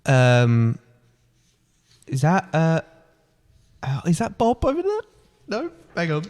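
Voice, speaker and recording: A man's voice: on the high side, wildly swooping pitch, slow pace, a little mellow, no room sound, exaggerated voice. A man playing a clueless, cheerful comic Scrooge, hesitant and flippant, as if improvising a pantomime. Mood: puzzled, flippant.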